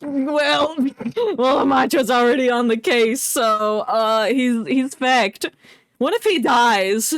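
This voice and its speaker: High-voiced, unhurried woman streamer. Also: dry recording. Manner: playful, sarcastic, swearing. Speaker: livestreamer. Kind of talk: casual joking game commentary.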